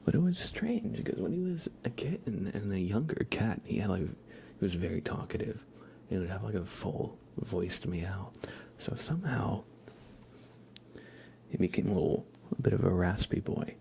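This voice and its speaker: A man hosting an ASMR livestream, chatting casually in a soft, hushed, gentle manner. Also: sits low, animated, moderate pace, vocal fry, slight background noise. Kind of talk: whispered ASMR, casual rambling chat.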